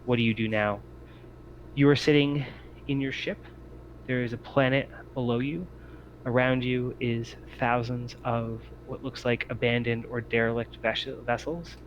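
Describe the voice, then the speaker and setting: A man's voice: mid-range voice, flat delivery, measured pace. A man narrating a tabletop roleplaying game as its game master. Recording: muffled lo-fi mic with clear noise.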